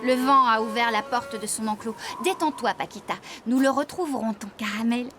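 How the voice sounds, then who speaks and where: High-pitched, caring cartoon farmer played by a woman. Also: a little fast, fairly bright tone, soft background music, close to an everyday voice. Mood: comforting. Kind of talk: children's cartoon dub acting.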